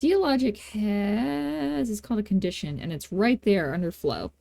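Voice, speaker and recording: A woman hosting a casual software tutorial, talking in an informal, playful and self-deprecating way. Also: mid-range voice, expressive, normal speed, creaky, no echo. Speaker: tutorial host.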